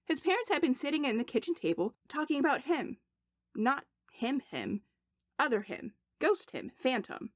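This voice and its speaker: A woman reading a story aloud as expressive audiobook narration, deliberate, with dramatic pauses for emphasis. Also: high voice, no echo, studio quality. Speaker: podfic narrator.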